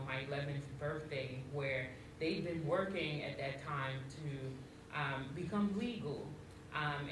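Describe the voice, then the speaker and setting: A woman from Haiti speaks with a light Haitian accent, telling her story on a panel with many ums and restarts. Her voice sits far from the mic in a very echoey room, with steady noise underneath. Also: low-pitched. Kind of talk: personal story in a panel discussion.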